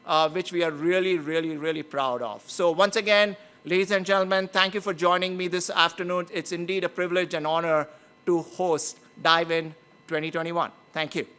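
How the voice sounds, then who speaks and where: Brief: male event host, high bright voice, earnest formal remarks. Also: fairly even intonation, normal speed, clean tone. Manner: earnest, frequent "uh" fillers. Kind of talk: formal speech.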